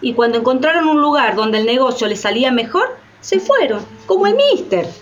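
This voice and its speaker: A woman's voice: high-pitched, very animated, a little fast, faint music behind. A woman playing a bitter, impassioned character in radio theatre, speaking emphatically against the powerful. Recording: dull sound with faint noise.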